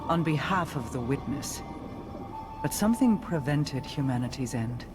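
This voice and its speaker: An imperious queen in a dramatic game cutscene. She speaks in a measured, formal, commanding way, cold and resolute, with clear music playing behind her. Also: very deep voice, lively intonation.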